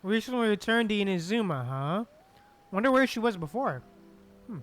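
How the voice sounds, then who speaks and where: A woman's voice: medium pitch, big pitch swings, moderate pace, faint music behind. A woman streaming a game, reacting casually in short, slangy muttered remarks. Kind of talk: casual play-along reactions.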